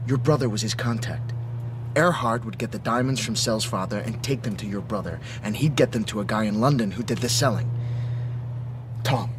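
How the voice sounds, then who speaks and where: An actor playing a gruff, impatient, hard-boiled secret agent in a radio drama, curt and commanding. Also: male voice, lowish voice, moderate pace, close to an everyday voice. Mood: pressing. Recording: noisy radio drama with vehicle hum.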